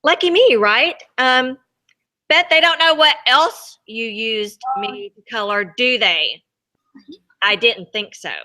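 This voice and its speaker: A woman's voice: fairly high pitch, takes it slowly, pushed and bright. Woman as a sardonic, hurt storybook brown crayon. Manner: hesitant, sardonic, pointed. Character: sheepish, sarcastic, resentful brown crayon runaway.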